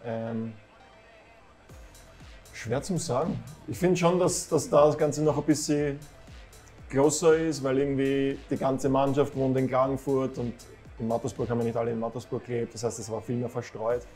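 An Austrian man in his thirties sits in a club café and answers interview questions. He sounds calm and unassuming, speaks at a middle pitch, and keeps his sentences plain. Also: normal speed, faint music behind. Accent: Austrian German. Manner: calm, modest, plain-spoken. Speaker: professional footballer, guest. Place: football club café with shelves, indoors.

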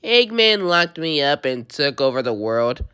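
A woman's voice: low-pitched, big pitch swings, a little slow, a bit bright, smooth, heavily acted. A woman voicing a cocky, easygoing young male blue hedgehog hero in an amateur comic dub, with breezy, casual delivery.

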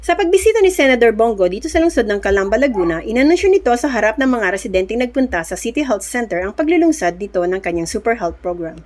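A woman news reporter with a Filipino accent narrates a scripted report. Her voice sits fairly high, and she moves through the copy at a quick pace. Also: big pitch swings, darkish tone.

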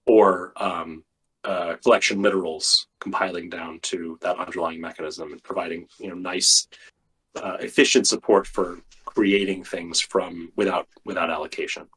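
A man, a software engineer guest, explaining technical details thoughtfully with many fillers, his voice getting tired and worn. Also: low voice, little pitch movement, normal speed, husky, low-quality recording. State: losing his voice. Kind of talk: technical interview talk.

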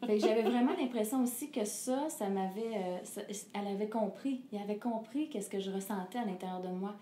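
A woman's voice: mid-range voice, brisk, warm tone. Earnest Québécois woman in an echoey room. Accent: Québécois French. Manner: earnest and reflective, with frequent hesitations and laughter. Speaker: singer, interview guest. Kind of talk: candid personal conversation. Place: living room with a fireplace, indoors. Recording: echoey room with faint hiss.

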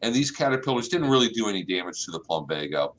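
A middle-aged man with a low voice that rises and falls a lot, explaining plant pests in a relaxed way. He is on a webcam in an office and hosts a live gardening call-in show. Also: quick pace, a little hiss or hum, low-quality recording. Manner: relaxed, explanatory, uses y'all. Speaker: extension agent and plant clinic host. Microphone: webcam on a video call.

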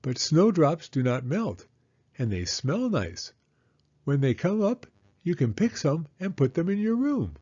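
A man voicing a patient, gentle mother mouse in a children's storybook, explaining things simply and reassuringly. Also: on the high side, big pitch swings, a little slow, husky, muffled recording, voice pushed up, exaggerated voice. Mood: gently explaining.

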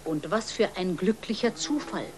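A woman's voice: mid-range voice, normal speed, background noise. A woman giving scripted narration as the storyteller of a children's audio play.

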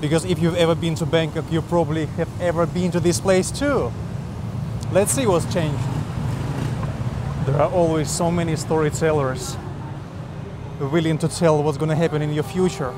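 A man's voice: fairly high pitch, steady tone, normal speed, pauses often. Male vlogger, upbeat walking-tour narration, recorded outside with street noise. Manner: chatty, enthusiastic, speaks to viewers directly. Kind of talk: casual travel vlog narration. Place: outdoors on busy city streets. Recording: clear street noise behind. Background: traffic and street noise.

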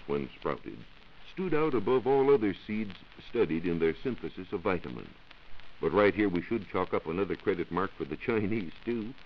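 An American man giving a scripted, measured science talk as a reporter on a 1940s radio show. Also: deep voice. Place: radio studio. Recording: muffled 1940s radio recording with hiss.

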